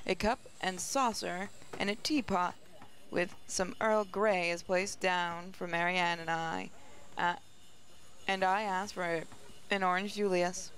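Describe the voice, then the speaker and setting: A woman's voice: medium pitch, fairly bright tone, a little hiss or hum. A woman gaming host reading game narration aloud, with casual asides.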